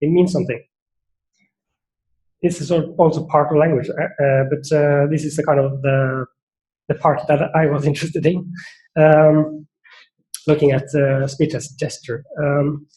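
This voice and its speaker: A man's voice: fairly high pitch, dark tone, low-quality recording. A man with a Norwegian accent giving an academic seminar talk, thoughtful and hesitant, with many fillers and restarts. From Norway. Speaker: seminar speaker.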